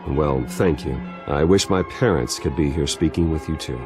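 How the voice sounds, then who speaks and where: An actor playing a wealthy, composed, guarded American man with a dry, calm manner in a video game. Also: very deep voice, normal speed, over music. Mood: composed, cordial. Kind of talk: video game character acting.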